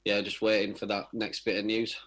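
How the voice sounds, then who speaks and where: A young man, a footballer, giving casual interview answers in a halting way as he searches for words. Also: fairly low pitch, flat delivery, a bit bright. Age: young adult.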